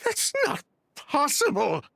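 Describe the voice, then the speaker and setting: A man playing a distraught brother in a video game, halting and stammering as he cries in disbelief. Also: extremely high pitch, huge pitch swings, vocal fry, bright, forceful tone, slight background noise, exaggerated voice. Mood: shocked, disbelieving. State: crying, choked up. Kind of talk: dramatic video game voice acting.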